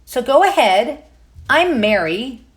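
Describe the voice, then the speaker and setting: A woman's voice: high-pitched, big pitch swings, slow pace, pitched above the performer's own voice, exaggerated voice. A woman voicing a curt, contrary little girl in a children's storybook, pert and blunt. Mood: sassy.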